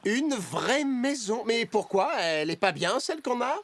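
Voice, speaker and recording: A stammering, hesitant cartoon dad. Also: female voice, a little high, very animated, measured pace, dry recording, exaggerated voice. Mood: bemused. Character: hesitant good-natured father of three. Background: background music.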